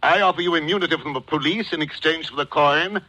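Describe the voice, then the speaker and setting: An actor in a 1940s radio drama playing a wily, jovial middle-aged gentleman villain who talks with pompous, florid formality. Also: fairly high pitch, quick pace, poor audio. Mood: pompous, insistent. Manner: pompous, formal, chuckling, says "sir" constantly. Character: wily, jovial, florid fat-cat gentleman villain posing as coin dealer. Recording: old 1940s radio recording.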